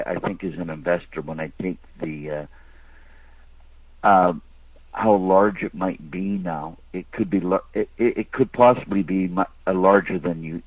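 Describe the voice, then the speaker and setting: A man calling in as a private investor, asking halting, rambling, speculative questions. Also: deep voice. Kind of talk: unscripted caller question. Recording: distant phone line into conference call.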